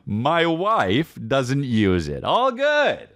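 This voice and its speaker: Male American podcast host, mid-pitched and highly animated, casual comedic storyteller who rambles and repeats for emphasis. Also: extremely slow, no room sound, clean studio sound. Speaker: podcast host telling personal stories.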